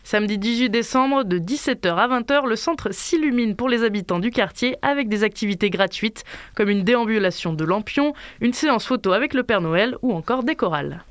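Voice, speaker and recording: A woman presenter reading local event announcements on the radio in a smooth, scripted way. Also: on the high side, lively intonation, brisk, no room sound.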